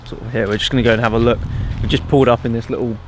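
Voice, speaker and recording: A man's voice: mid-range voice, flat delivery, very fast, background noise. A young man chatting casually to a camera held at arm's length outdoors on a road trip. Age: young adult. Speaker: travel vlogger. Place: outdoors by roads, woods and lakes. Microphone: camera-mounted shotgun mic at arm's length.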